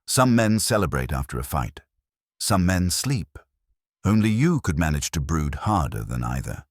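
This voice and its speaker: A man's voice: deep voice, sing-song, moderate pace, no echo, studio quality, exaggerated voice. A man voicing a wisecracking, irreverent male detective's assistant in an audiobook, flippant and colloquial. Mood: teasing. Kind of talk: audiobook character dialogue.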